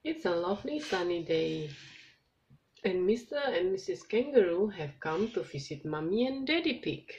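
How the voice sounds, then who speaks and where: A woman reading a picture book aloud to a child in an animated storytelling style, checking in encouragingly. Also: lowish voice, normal speed. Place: indoors at a table. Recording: dull home recording with noise.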